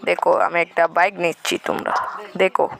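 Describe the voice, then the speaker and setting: A woman's voice: on the low side, flat delivery, brisk, creaky. A woman giving casual video game commentary, narrating each of her actions in short, repetitive phrases. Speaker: gamer presenting a gameplay video. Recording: noisy recording with vehicle and siren sounds.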